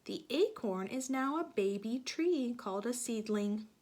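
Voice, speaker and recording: A woman's voice: fairly high pitch, expressive, unhurried, a little mellow. A woman teacher reading a picture book aloud to young children, stopping to explain things and ask them questions.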